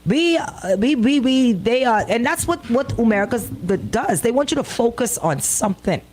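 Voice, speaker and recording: A woman's voice: on the high side, expressive, normal speed, a little mellow. A woman co-hosting radio banter, opinionated and emphatic, hammering points home with repeated phrases and rhetorical questions. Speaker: radio show co-host.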